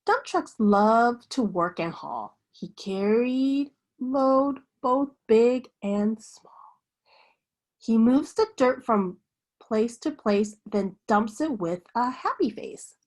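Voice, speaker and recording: A woman reading a children's picture book aloud like a teacher, with an expressive, sing-song bedtime delivery. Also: fairly high pitch, takes it slowly.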